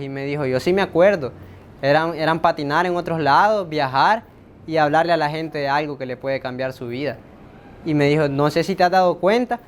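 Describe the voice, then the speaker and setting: A Salvadoran man with a slightly high voice speaks in a casual interview. He is reflective, repeating words as he shares his testimony. There is a faint low rumble behind him.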